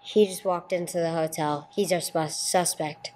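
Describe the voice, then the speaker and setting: A dogged, matter-of-fact police sergeant acted by a woman reports the case in a radio play. The voice sits on the low side, with short, clipped phrasing. The live recording carries noticeable noise. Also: fairly even intonation, moderate pace, lightly acted.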